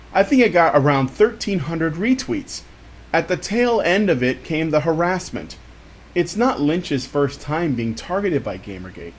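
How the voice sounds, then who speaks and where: A man's voice: fairly high pitch, slightly rough. A man reading an article aloud in a dramatic storytime style, with theatrical, exaggerated emphasis.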